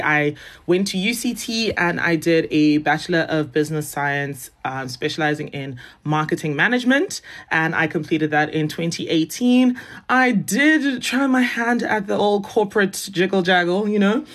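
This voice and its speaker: Woman, 20s, lowish voice, laughs a lot, chatty guest. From South Africa. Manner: chatty, laughs often, self-amused. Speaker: guest, beauty content creator. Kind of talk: casual interview chat.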